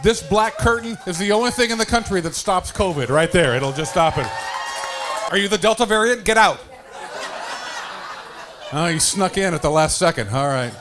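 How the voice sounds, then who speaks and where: An older man doing stand-up comedy, excitable, often stammering and repeating his words, on a handheld microphone. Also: high voice, brisk, over music, crowd noise. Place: small dark comedy stage indoors. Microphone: handheld stage microphone. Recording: noisy live club stage recording.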